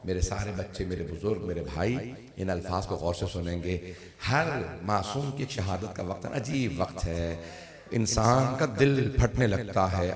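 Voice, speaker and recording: A man delivering a mournful sermon in an emotional, lamenting way, his throat strained. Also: low-pitched. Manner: emotional, lamenting, slow and solemn. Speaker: religious orator. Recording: reverberant hall.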